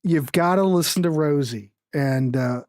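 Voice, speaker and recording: A woman's voice: low voice, a little slow, darkish tone, no room sound, studio quality. A woman hosting a music show, chatting casually about records in a rambling, jokey, informal way with viewers.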